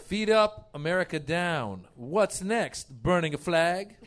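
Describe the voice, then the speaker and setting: Slow, higher-voiced male panelist. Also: expressive, fairly bright tone. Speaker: comedy panelist. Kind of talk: reading out mock headlines.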